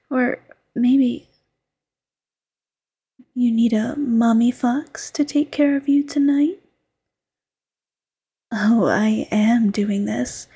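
A woman voicing a doting, teasing fox girlfriend who mothers her partner, in soft coaxing whispered roleplay. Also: fairly high pitch, slightly rough, exaggerated voice. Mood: insistent.

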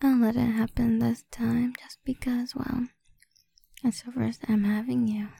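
A woman speaking in a breathy whisper in an erotic roleplay audio, domineering, commanding and taunting. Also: on the high side, level tone, measured pace, mellow.

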